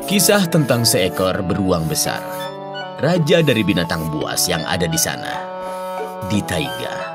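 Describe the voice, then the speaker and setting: A man narrating fairy tales as a storyteller, with loud music behind him. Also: deep voice, big pitch swings, unhurried. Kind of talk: animated fairy-tale narration.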